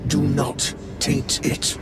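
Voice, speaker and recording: Slow, solemn warrior elder. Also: male voice, on the high side, completely flat delivery, gravelly, heavily acted. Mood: grave, stern. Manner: grave, measured, solemn. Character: solemn, commanding male elder Space Marine officiating a rite. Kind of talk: ceremonial video game cutscene acting. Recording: loud cinematic music behind.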